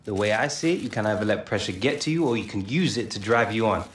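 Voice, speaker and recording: An actor playing an earnest, determined young footballer in a video game, speaking sincerely and informally. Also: male voice, on the low side, animated, fast talker, slight background noise, natural voice. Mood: earnest, confident. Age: young adult. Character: earnest eighteen-year-old male footballer, polite and determined. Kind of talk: video game cutscene acting. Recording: roomy echo, music behind.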